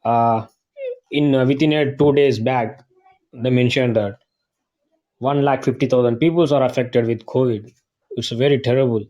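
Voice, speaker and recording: A man calling in to a talk show, speaking haltingly with frequent fillers and loose grammar. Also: medium pitch, fairly even intonation, dark tone, muffled recording. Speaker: caller. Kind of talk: casual call-in chat.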